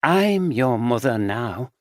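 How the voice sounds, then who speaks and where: A man voicing a disturbed middle-aged woman, slow and dreamy, then sharp and pleading. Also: medium pitch, very animated, dry recording, clean studio sound. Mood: eerie tender.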